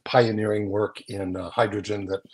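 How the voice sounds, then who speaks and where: A man's voice: on the low side, level tone, normal speed, darkish tone. An older man talking through news items in a casual, explanatory way on a video call from a room at home, often tagging on little questions. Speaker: magazine editor and guest presenter. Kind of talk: casual news rundown in conversation. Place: small home room with bookshelves, indoors. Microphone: video call, close to the screen.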